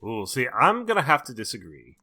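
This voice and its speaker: A man's voice: mid-range voice, sing-song, fairly quick, crowd noise. A man co-hosting a horror movie podcast, giving casual, opinionated commentary and repeating his points for emphasis. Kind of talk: casual opinionated film discussion.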